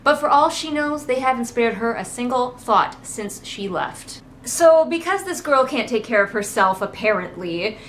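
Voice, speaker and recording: A woman's voice: fairly high pitch, animated, normal speed, few pauses, fairly bright tone. Female book-critic host, casual and sarcastic, exasperated mocking delivery, faint background noise. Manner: exasperated, mocking asides and acted-out quotes. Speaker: book critic hosting a readalong. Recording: noticeable background noise, train outside. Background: ambient noise, passing train at times.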